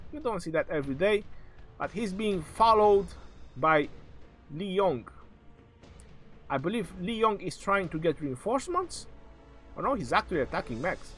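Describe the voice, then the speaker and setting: A man calling a strategy game match live, in excitable, joking play-by-play, his voice going hoarse. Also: high voice.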